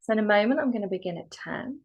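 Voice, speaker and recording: A woman teaching yoga nidra, guiding a relaxation meditation in a gentle, soothing, soft and sometimes breathy voice with long pauses. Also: mid-range voice, lively intonation, muffled recording.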